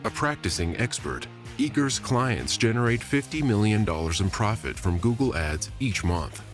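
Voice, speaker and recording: A man's voice: fairly low pitch, expressive, moderate pace. A male announcer reading a formal scripted introduction that lists credentials. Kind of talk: scripted promo narration. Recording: voice-over with soft music bed.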